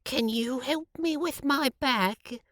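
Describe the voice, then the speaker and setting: A man voicing a timid, apologetic old woman with a soft, hesitant way of talking. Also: extremely high pitch, takes it slowly, no echo, studio quality, voice pushed up, exaggerated voice.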